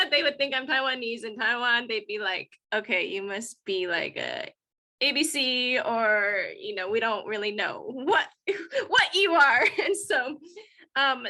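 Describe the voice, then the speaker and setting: Bright-voiced woman speaking on a panel. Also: sits high. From United States. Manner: earnest, reflective, with frequent fillers. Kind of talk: panel discussion.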